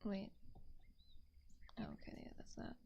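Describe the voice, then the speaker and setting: A woman whispering softly and gently in a breathy ASMR style, murmuring absent-mindedly to herself close to the microphone as she streams. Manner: gentle, unhurried, absent-minded self-talk. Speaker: streamer, digital artist. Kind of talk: whispered ASMR, casual art stream muttering. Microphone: close binaural ASMR microphone. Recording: dull muffled sound with faint background noise.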